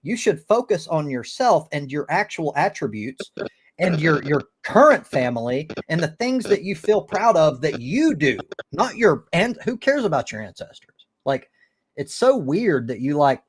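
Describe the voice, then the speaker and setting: A man's voice: on the high side, very animated, normal speed, slightly rough. An American man in casual debate on a live stream, blunt and opinionated, with some swearing.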